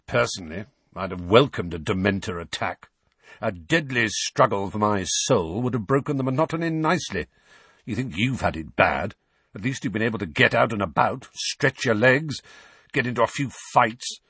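A man's voice: lowish voice, animated, normal speed, a bit bright, breathy edge, dry recording, full character voice. A man voicing a restless, bitter godfather in a storybook, with a dry, sarcastic, complaining manner.

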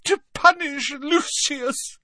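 A frantic aristocratic mother pleads and sobs in a high, strained voice, as a male audiobook reader puts her on. She speaks slowly and brightly, recorded dry and close. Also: no room sound, exaggerated voice. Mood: pleading. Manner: sobbing, desperate pleading. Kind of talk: audiobook character voice.

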